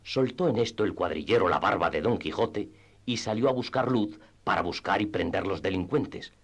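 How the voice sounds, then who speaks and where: Narrator for radio play: male, deep register, scripted literary storytelling. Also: expressive, normal speed.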